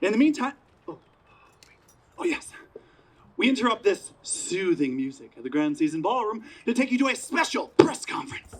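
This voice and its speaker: A man plays an old-time radio announcer with a high-pitched voice. He addresses his listeners with courteous apology, in the style of a spoofed vintage broadcast on stage. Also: big pitch swings, normal speed. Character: polite, apologetic male radio station announcer. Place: outdoors, community theater play in a parking lot.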